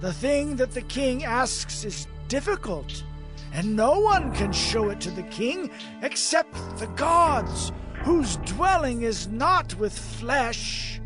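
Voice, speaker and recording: An actor playing a pleading, deferential Chaldean sage in a dramatized Bible recording, with obsequious, drawn-out declamation. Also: male voice, very high-pitched, sing-song, music in the background, full character voice. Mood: anxious protest. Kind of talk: dramatized Bible audio acting.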